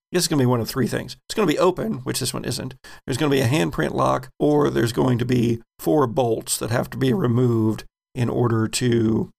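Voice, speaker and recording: A man with a gravelly voice narrating a tabletop roleplaying game as game master, descriptive and deliberate. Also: medium pitch. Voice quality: gravelly, creaky.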